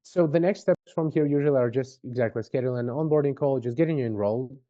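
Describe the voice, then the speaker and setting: A man making a conversational sales pitch on an online call, informal and often checking in with questions. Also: quick pace, no echo, dull audio. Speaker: sales representative for a marketing agency.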